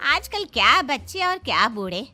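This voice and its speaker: A woman's voice: sits high, big pitch swings, moderate pace, bright, forceful tone, exaggerated voice. A woman voicing a gossipy, moralising village neighbour in a cartoon story, with a knowing manner. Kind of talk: Hindi cartoon story dubbing. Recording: music behind the voice.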